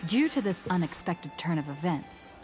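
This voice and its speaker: A woman playing a storyteller who narrates an epic fantasy game saga in a formal, measured, scripted manner. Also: fairly low pitch, very animated, darkish tone. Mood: solemn, measured. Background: game music.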